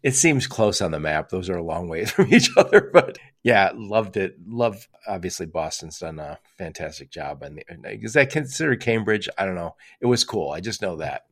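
A man's voice: low-pitched, lively intonation, normal speed, hardly any pauses. An older American man hosting a casual interview, talking in a chatty, digressive way. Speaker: podcast host.

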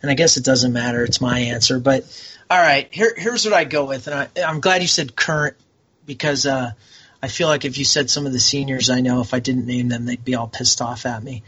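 Chatty man with a bright, dull-sounding voice. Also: mid-range voice, fairly quick, breathy edge. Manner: chatty, rambling, enthusiastic. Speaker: guest, military veteran. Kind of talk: casual podcast chat.

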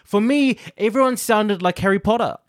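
A man with a New Zealand accent chatting casually and playfully as a podcast co-host, with an animated way of talking. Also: high voice, moderate pace, dry recording, studio quality. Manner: animated, playful, acts out imagined quotes. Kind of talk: casual chat about a TV show.

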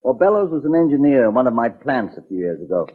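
An actor playing a polite, earnest industrialist client in a 1940s radio detective drama. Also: male voice, mid-range voice, very animated, fast talker, husky. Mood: explaining. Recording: muffled, tinny 1940s radio recording.

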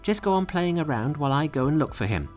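A man's voice: on the high side, expressive, fast, faint music behind, voice pushed up, natural voice. A man voicing a cocky male monkey king in a storybook reading, boastful and taunting. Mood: eager.

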